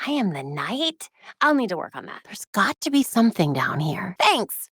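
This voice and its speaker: A woman's voice: medium pitch, sing-song, moderate pace, fairly bright tone, husky, dry recording, studio quality, exaggerated voice. An actress voicing a witty, determined young female vigilante and detective in scripted video game lines, with a wry, earnest delivery. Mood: wry, focused. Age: young adult.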